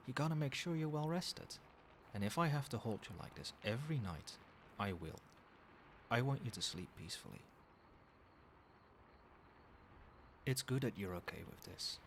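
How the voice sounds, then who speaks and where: A man playing a doting yet controlling, quietly menacing boyfriend in a soft-spoken ASMR roleplay, coaxing tenderly with pet names. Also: mid-range voice, normal speed, vocal fry. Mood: tender, sleepy. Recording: steady background noise, night ambience. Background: rain and cricket sounds.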